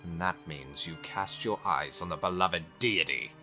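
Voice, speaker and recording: An actor playing a menacing, zealous high cleric in a dark fantasy drama, gravelly and coldly formal, speaking slowly and deliberately. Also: male voice, exaggerated voice. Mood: cold menace. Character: menacing zealous male high cleric devoted to his goddess. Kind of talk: dark visual novel audio drama acting. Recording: music under the voice. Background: music.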